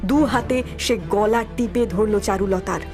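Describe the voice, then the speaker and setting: A woman's voice: medium pitch, normal speed, over music. A woman, reading scripted dramatic story narration.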